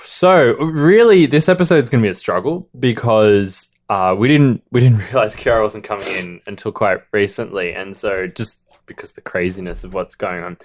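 A man's voice: fairly low pitch, normal speed. A man hosting a casual book podcast, playful and rambling, with a lot of fillers and jokes. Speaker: podcast host.

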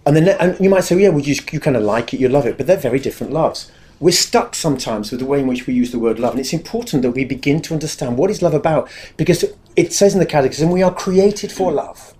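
A man's voice: a little high, animated, fast talker, mellow. An English man with a big, carrying voice, giving a chatty, self-deprecating talk to a live audience and addressing the room directly.

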